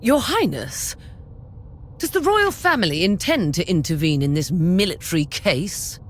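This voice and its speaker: An actress playing a grave female military officer in a video game, speaking in formal, measured reports. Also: on the low side, very animated, slight background noise.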